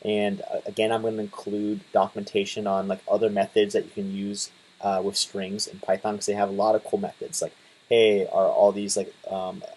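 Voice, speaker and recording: A man in his twenties casually talking through a coding tutorial, relaxed, with lots of fillers and restarts. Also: on the low side, normal speed, low-quality recording. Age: 20s. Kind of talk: casual screen-share tutorial.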